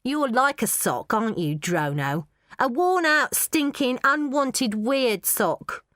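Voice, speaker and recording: A woman voicing a sneering orphan girl who bullies, with a loud mocking delivery. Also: fairly high pitch, lively intonation, slow pace, no room sound, clean studio sound, pitched above the performer's own voice. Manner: mocking, jeering.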